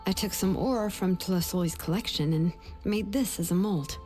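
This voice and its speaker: An actress voicing a calm, caring young woman in video game dialogue, speaking gently and reassuringly. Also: on the low side, normal speed, faint music behind, natural voice. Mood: gentle, reassuring. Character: calm caring young woman, inscription crafter, laid-back mentor.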